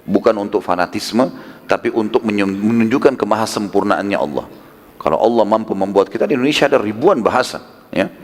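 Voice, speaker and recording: A man preaching a religious lecture, conversational and emphatic, with rhetorical questions and casual asides. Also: on the low side. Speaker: Islamic preacher. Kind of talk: religious lecture retelling prophetic history. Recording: long hall reverb.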